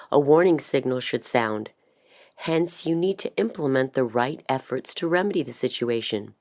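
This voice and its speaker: A woman reading a scripted explainer as a voice-over artist, in a measured, instructional way. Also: extremely low pitch.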